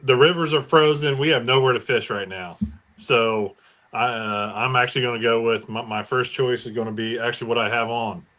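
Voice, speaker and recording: A man's voice: mid-range voice, fairly bright tone. An American man chatting casually as a guest on a podcast. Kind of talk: casual chat.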